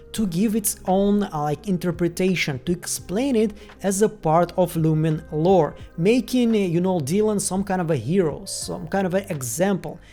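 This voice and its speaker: A woman's voice: on the low side, dark tone. A woman from Ukraine with a Ukrainian accent, hosting a chatty video commentary full of "like" and "once again" fillers. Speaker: YouTube host.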